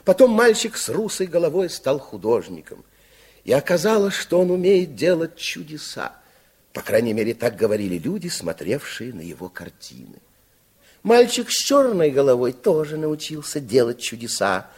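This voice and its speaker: A man's voice: on the high side, very animated, moderate pace, a little mellow, husky, exaggerated voice. A man narrating a radio fairy tale with theatrical, dramatic storytelling.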